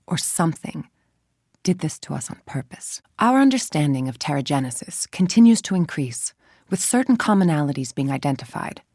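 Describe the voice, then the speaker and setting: A woman's voice: low voice, a little mellow, no echo. A haughty, menacing female scientist from a video game. She speaks with precise, condescending control and cold self-righteousness. The sound is very dry, with no room around the voice. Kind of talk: video game voice acting, scripted dialogue and briefings.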